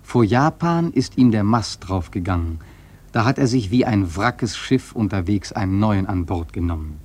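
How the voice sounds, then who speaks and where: Husky, low-voiced solemn sailor narrator. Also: male voice, animated, normal speed, darkish tone. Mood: solemn, awed. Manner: solemn, emphatic, dramatic. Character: grave, awed sailor recounting his voyage. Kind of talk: literary radio drama narration.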